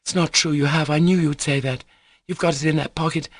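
Man voicing an imperious high-pitched teenage girl. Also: fairly even intonation, fairly quick, no room sound, studio quality. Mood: insistent. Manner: bossy, urgent, exclamatory. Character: imperious, excitable teenage girl, nervy and demanding. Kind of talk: dramatised audiobook reading.

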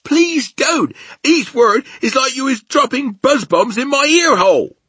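Big friendly storybook giant, quirky broken grammar, swoops way up high, gets loud and fussy. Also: male voice, huge pitch swings, a little slow, husky, no room sound, full character voice. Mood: pained, pleading. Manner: quirky ungrammatical speech with invented words. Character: big friendly giant, male, gentle, excitable, fussy about his ears.

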